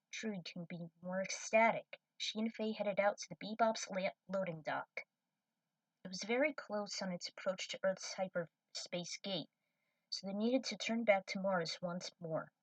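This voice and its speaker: A woman's voice: medium pitch, dry recording, distant mic, rough recording. A woman reading scripted story narration as the narrator.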